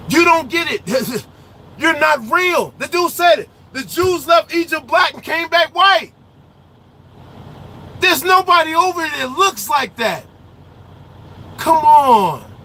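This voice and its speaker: A man who drives trucks, talking casually in his truck cab on the road, loud and animated with slangy trash talk. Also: very high-pitched, a little hiss or hum. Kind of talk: casual ranting chat while driving.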